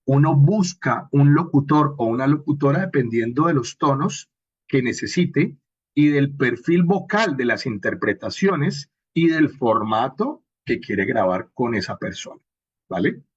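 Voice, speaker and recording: A man's voice: mid-range voice, animated, measured pace, husky. A man with a Colombian accent giving an informal, explanatory lecture as a workshop instructor, often checking in with short questions. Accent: Colombian Spanish. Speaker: workshop instructor on radio drama and podcasting. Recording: muffled, dull lo-fi audio.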